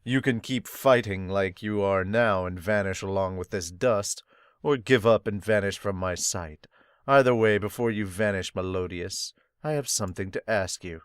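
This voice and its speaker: A man voicing an ancient, grim male demon king who speaks in a slow, grave, commanding way. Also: lowish voice, clean tone, no room sound, clean studio sound, natural voice.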